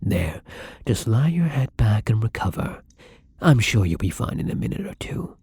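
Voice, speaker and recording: Man playing a husky, gentle newborn vampire. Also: fairly low pitch, big pitch swings, no room sound, full character voice. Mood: caring, apologetic. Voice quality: breathy, husky. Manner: soft, halting, often dropping into whispers. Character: newly turned gentle vampire, tender and hesitant. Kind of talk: intimate ASMR roleplay acting.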